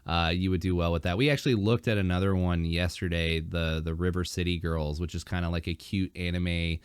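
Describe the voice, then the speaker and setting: A man hosting a casual podcast, rambling with fillers and restarts, his throat dry and a bit hoarse. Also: low voice, fairly even intonation, a little fast, no room sound. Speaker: podcast host.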